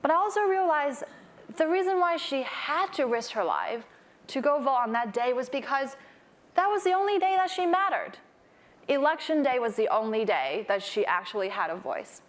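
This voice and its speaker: A woman's voice: sits high, lively intonation, moderate pace. A woman in her thirties from Hong Kong, a startup founder telling a personal story on a conference panel, earnest and rising to emphatic peaks.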